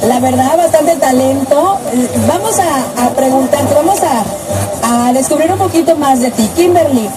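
A woman's voice: high-pitched, animated, measured pace, over loud music. A woman hosting a casual radio interview, teasing and laughing with her guest. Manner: playful, teasing, laughs often. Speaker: radio host.

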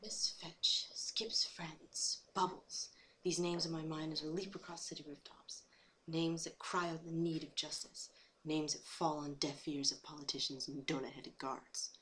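A woman's voice: low-pitched, little pitch movement, measured pace, fairly bright tone. A woman voicing a brooding pony vigilante in a solemn, dramatic monologue. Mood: brooding, resolute. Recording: noisy home recording.

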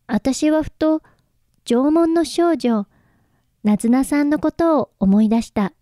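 A woman's voice: high-pitched, takes it slowly, dark tone, no room sound, studio quality. An actress playing a shy, lovelorn young woman, wistfully narrating and speaking in a radio drama. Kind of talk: radio drama acting with first-person narration. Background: faint instrumental music.

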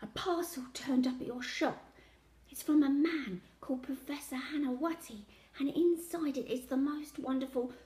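A woman's voice: very high-pitched, very animated, normal speed, few pauses, echoey room, pitched above the performer's own voice. A woman voicing a worried, earnest schoolgirl in an audiobook, anxious and eager with her questions. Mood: nervous, hushed.

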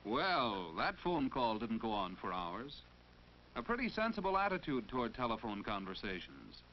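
A male narrator from a 1940s instructional film, didactic and full of instructive questions. His pitch swings expressively through a muffled soundtrack with faint noise. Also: big pitch swings, moderate pace.

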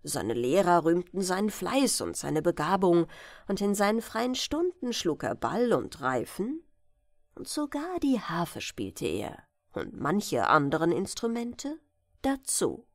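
A woman's voice: lowish voice, very animated, takes it slowly, no echo, studio quality. A woman narrating a bedtime fairy tale as an audiobook reader.

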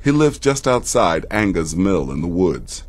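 Video game assassin: very low, husky, measured, worldly and aloof with dry sarcasm. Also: male voice, big pitch swings, heavily acted. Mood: businesslike, sardonic. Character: gruff, sardonic desert-born assassin, worldly and aloof. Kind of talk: video game character dialogue.

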